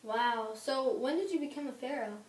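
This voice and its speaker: A woman's voice: high voice, moderate pace, darkish tone. A woman hosting a role-play interview in a cheerful, upbeat presenter manner, recorded in an echoey room from a distance. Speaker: interviewer host.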